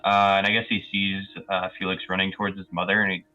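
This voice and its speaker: A man's voice: deep voice, monotone, fast talker, pushed and bright, lo-fi sound. A man playing a tabletop game, casually describing his character's actions in a hesitant, pieced-together way. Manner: hesitant, piecing sentences together. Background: faint music.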